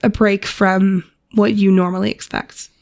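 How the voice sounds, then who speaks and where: Woman chatting casually with a creaky voice. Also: medium pitch, level tone, a little slow, a little mellow, dry recording, clean studio sound. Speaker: podcast co-host. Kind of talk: casual chat about folk tales.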